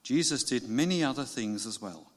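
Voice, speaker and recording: A man's voice: mid-range voice, expressive, normal speed. A man giving a formal reading of scripture as a church reader. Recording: long church reverb.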